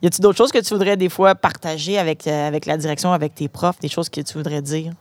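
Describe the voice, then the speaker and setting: A woman's voice: sits low, steady tone, quick pace, a little mellow, no echo. Woman, Canadian French accent, host, chatty, restarts phrases, dry sound. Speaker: host interviewing schoolchildren. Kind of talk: casual interview. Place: inside a parked truck.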